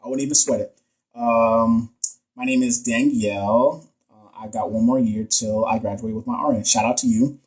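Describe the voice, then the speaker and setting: A man's voice: medium pitch, fairly even intonation, moderate pace, husky, poor audio. A man from Texas, a nurse educator hosting a casual live stream, joking in slang while he reads viewer comments and reviews quiz answers.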